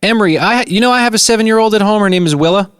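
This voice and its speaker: A man's voice: high-pitched, very fast, a bit bright, smooth, no echo, clean studio sound. A man hosting a radio show, chatting casually with callers in a playful, joking way. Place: radio studio. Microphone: close studio microphone.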